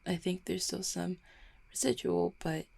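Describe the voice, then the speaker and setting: A woman's voice: on the low side, a little mellow, full character voice. A woman acting a guarded, heartbroken small-town mail carrier in an audio roleplay, stammering and blaming herself. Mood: subdued, recovering. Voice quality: husky, creaky. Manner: halting, stammering, self-deprecating. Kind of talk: roleplay audio drama, intimate one-sided conversation.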